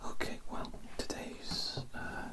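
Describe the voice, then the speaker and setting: A self-important alien doctor, played by an ASMR performer, speaks in a hushed whisper. The delivery is deliberate and polite, with phrases softly repeated in a chatty, slightly petty way, as in an intimate roleplay for a single patient. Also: close to an everyday voice. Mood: polite, confiding.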